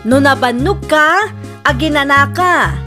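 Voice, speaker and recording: A woman's voice: high-pitched, measured pace, bright, forceful tone. A woman with an Ilocano accent giving scripted, moral-giving narration as the narrator of a drama. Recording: music under the voice.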